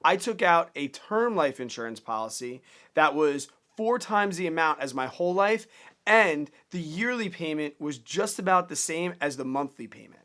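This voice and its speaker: A man's voice: fairly high pitch, a bit bright. A man in his thirties giving casual, earnest personal finance advice straight to the camera, plainspoken and given to repeating words as he restarts. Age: 30s.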